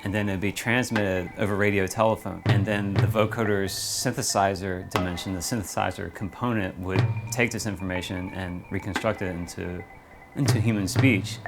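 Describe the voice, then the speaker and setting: A man explaining history in a measured way, as in a documentary interview. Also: deep voice, steady tone. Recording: music behind the voice. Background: plucked guitar music.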